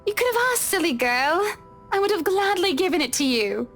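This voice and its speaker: A woman's voice: very high voice, animated, moderate pace, a bit bright, faint music behind, heavily acted. A woman voicing a genteel, affectionate and wry lady of a mansion in a visual novel, with a gracious, proper manner. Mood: gracious, warm.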